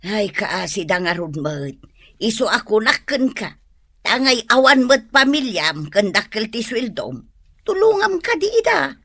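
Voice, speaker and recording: A woman's voice: a little high, sing-song, measured pace, pushed and bright, no room sound, clean studio sound, full character voice. An actress playing a plaintive, nagging elderly mother with an Ilocano Filipino accent in a radio drama.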